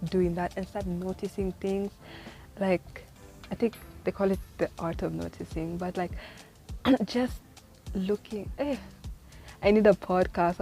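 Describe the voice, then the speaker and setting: A woman's voice: mid-range voice, mellow. A twenty-year-old woman vlogging casual life advice, rambling and chatty with lots of "like" and "you know", often laughing at herself. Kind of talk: casual rambling monologue to camera. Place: in the city where she lives.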